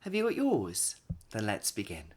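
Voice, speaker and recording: A man's voice: fairly low pitch, huge pitch swings, normal speed, breathy edge. A man, reading a picture book aloud expressively for young children. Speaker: children's storyteller and host.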